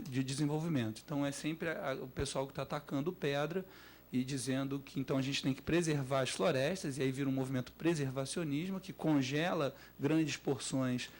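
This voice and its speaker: A man with a Brazilian accent speaking off the cuff as an academic panelist, halting and repeating words as he develops his points. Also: fairly high pitch. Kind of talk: spontaneous panel discussion.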